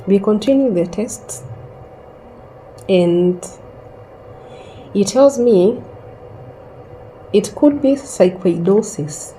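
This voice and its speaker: Slow, mellow-voiced woman telling her story. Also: mid-range voice, animated, soft background music, rough recording. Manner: slow and reflective, with long pauses. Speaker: host sharing a personal story. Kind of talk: casual personal storytelling.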